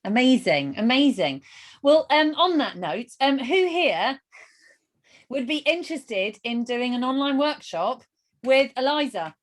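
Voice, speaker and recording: A woman's voice: sits high, sing-song, measured pace. A woman hosting a live webinar and interviewing in a casual, enthusiastic manner.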